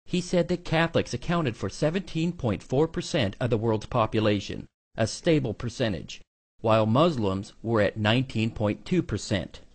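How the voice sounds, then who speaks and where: Male news narrator, mid-pitched, slightly rough and husky, for scripted report copy. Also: animated, moderate pace.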